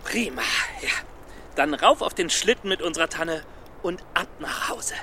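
A man playing a fussy, optimistic scholar and storyteller in a children's radio play, expressive and prone to stammer. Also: on the high side, moderate pace, slight background noise. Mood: delighted.

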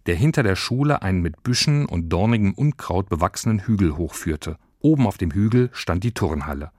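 A man reading scripted narration for a children's story. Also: low voice. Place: dry studio.

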